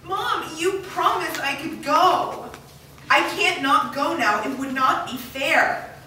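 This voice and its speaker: A woman's voice: sits high, lively intonation, slow, bright, forceful tone, exaggerated voice. A woman playing a withdrawn, defensive teenage girl in a staged script reading. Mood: pleading, sulky. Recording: very echoey room, distant mic, noisy.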